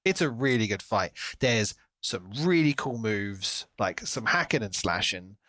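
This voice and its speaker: A man co-hosting a podcast, talking through a book in a casual, animated retelling style. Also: on the low side, unhurried, dry recording. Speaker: podcast co-host.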